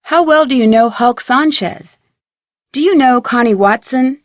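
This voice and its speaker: A woman's voice: high-pitched, measured pace, no room sound, studio quality. A woman playing an inquisitive, polite teenage girl detective in an adventure game, with earnest scripted delivery. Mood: curious.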